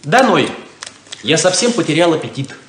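An actor playing a naive, hot-headed young son in a 1970s radio play, excitable and pleading, stammering when flustered. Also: male voice, fairly high pitch, normal speed, slight background noise. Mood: eager, teasing.

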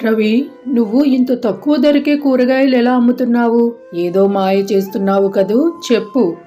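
A woman's voice: on the high side, moderate pace, mellow, soft background music, close to an everyday voice. A woman playing a greedy, suspicious male moneylender with a prying, scheming manner in a children's cartoon story.

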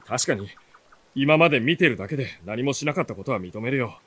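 An actor playing an earnest, caring young warrior companion with a husky voice in a radio drama. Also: male voice, medium pitch, animated, fast talker, a bit bright. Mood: apologetic. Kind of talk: Japanese radio drama acting.

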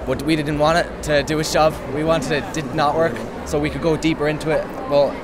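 A teenage boy with an Irish accent talking quickly and colloquially about his project. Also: fairly high pitch, level tone, noisy background. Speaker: student exhibitor.

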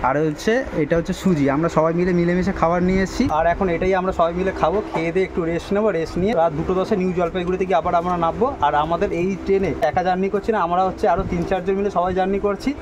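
A young man talks very fast and in a high voice, filming himself at arm's length. He chats casually about the train ride and runs through the coming stations. Clear train and platform noise hums behind him. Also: level tone. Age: young adult. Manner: chatty, lists upcoming stations. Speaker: travel vlogger. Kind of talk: casual travel vlog narration. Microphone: handheld camera at arm's length. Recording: noisy train and platform sound.

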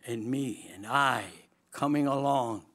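A man's voice: medium pitch, takes it slowly. An older man with a Swedish accent preaching at a lectern microphone in a large auditorium, telling his story with humor and frequent laughter. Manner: lively, humorous, laughs often, gestures broadly. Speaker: chapel speaker, former dean. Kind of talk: storytelling chapel sermon.

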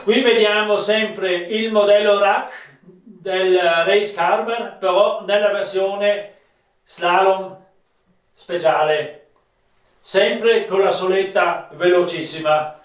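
A man in his fifties with a South Tyrolean accent and a high voice presents products slowly and plainly, halting now and then. The sound is roomy, as if picked up across a room. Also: fairly even intonation, recorded from a distance. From South Tyrol, Italy. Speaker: ski maker presenting own products. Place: small wood-panelled rooms of an old farmhouse.

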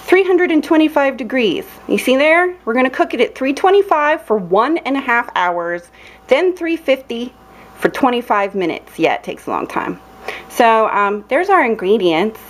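A young woman demonstrating a recipe in her dim home kitchen, chatty and playful, with lots of ums and cheerful exclamations. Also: sits high. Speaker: cooking vlogger. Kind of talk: casual cooking demonstration. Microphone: camera held close, filming her hands.

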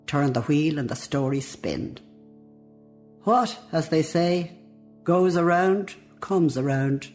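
A woman's voice: sits low, slow pace, a little mellow, faint music behind. An actress playing a devout, fond old Irish woman in a reflective radio play monologue, with an Irish accent. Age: older.

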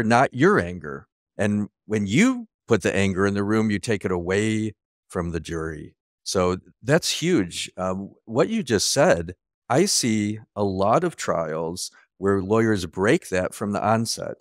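A middle-aged man hosting in a conversational coaching style, emphatic and deliberate, on a headset mic. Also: on the low side, no echo, clean studio sound. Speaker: host. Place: office, video call.

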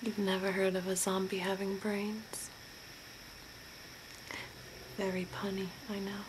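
A young woman whispering breathily and softly in a soothing ASMR role play, close to the mic as if giving personal attention. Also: medium pitch, level tone, unhurried. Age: young adult. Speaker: ASMR role-play performer, makeup artist. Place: small room at home, by a window. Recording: noisy home recording with ambient hiss.

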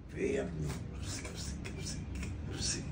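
An older man with an Egyptian Arabic accent playing an exasperated father in a family comedy sketch, scolding and sneezing loudly. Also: racing. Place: living room at home. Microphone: far camera. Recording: echoey room from far off, loud noise.

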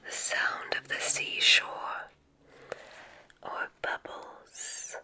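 A woman playing a kindly witch examiner in an ASMR roleplay, whispering in a deliberate, encouraging way with theatrical phrasing. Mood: mysterious, soothing. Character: kindly witch examiner testing a magic school applicant. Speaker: ASMR performer.

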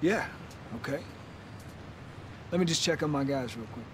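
Actor as rugged Texan settlement leader, noisy backdrop. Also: male voice, medium pitch, expressive, moderate pace, close to an everyday voice. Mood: earnest, proud. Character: earnest younger brother leading a survivor settlement, rugged and hopeful. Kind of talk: video game cutscene acting.

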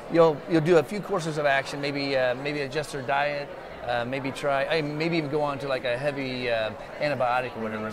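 A middle-aged man with a slightly raised voice chats loosely at a trade show booth, doubling back on his words with "uh" and "um." A lapel mic picks him up clearly while a steady crowd murmurs behind him. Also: on the high side. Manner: relaxed, loose, many fillers and restarts. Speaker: exhibitor guest. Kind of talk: casual interview. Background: crowd chatter.

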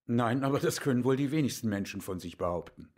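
Radio drama casting: man plays sensible professor and confidant, mid-pitched husky voice, composed conversational delivery. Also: animated, fast talker, a little mellow, lightly acted. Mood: calm concern. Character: male professor, composed, sensible friend of the doctor. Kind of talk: German radio drama acting.

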